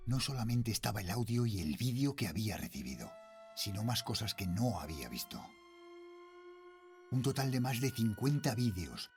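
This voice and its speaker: Low-voiced, haunted middle-aged father. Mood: troubled, tense. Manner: grave, brooding, dropping into slow hushed phrases. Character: haunted middle-aged father, shaken security guard narrating his horror. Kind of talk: dramatic first-person horror audio fiction narration.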